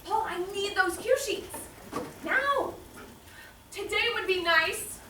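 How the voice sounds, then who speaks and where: Commanding studio boss played by actress, very high, slow delivery, impatient. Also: female voice, expressive, heavily acted. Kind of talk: stage play in radio drama style. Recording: echoey distant stage, clear noise.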